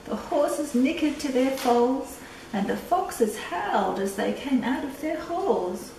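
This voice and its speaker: An older woman reading a picture book aloud to children, deliberate and drawn-out, with little asides. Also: high voice, lively intonation, darkish tone. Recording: echoey room, distant mic, faint noise.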